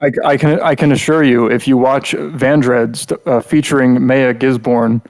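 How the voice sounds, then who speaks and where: A man chatting casually on a podcast, wry and halting, with some swearing. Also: medium pitch, fairly even intonation, darkish tone, low-quality recording. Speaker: podcast co-host. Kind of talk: casual chat.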